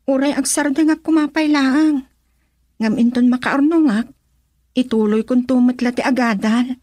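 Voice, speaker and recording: Casting: high-pitched young student girl, courteous and burdened, Ilocano radio drama, Filipino accent. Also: steady tone, moderate pace, a little mellow, no echo. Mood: wistful, earnest. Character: polite, troubled young female student raised by grandfather.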